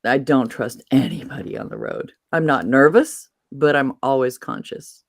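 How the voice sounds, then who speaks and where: A woman's voice: a bass voice, huge pitch swings, normal speed, vocal fry, no room sound. Chatty Massachusetts woman, dry close sound. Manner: chatty, rambling, loses her train of thought. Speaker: host. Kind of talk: casual chat.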